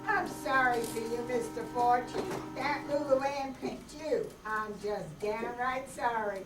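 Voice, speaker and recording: A woman as a slow, plaintive, folksy husband, distant. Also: on the high side, music in the background, close to an everyday voice. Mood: aggrieved, sorry. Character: slow-witted, courtly, aggrieved husband turned gunman. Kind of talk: amateur old-time radio play acting. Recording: echoey, distant, noisy room recording.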